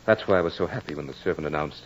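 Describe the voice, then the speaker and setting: An actor playing a proud, battle-hardened soldier in old radio horror drama, with formal, theatrical diction. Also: male voice, extremely low pitch, rapid-fire, background noise. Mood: brooding, remorseful. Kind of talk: 1940s-50s radio horror drama acting. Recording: muffled old-time radio recording with music.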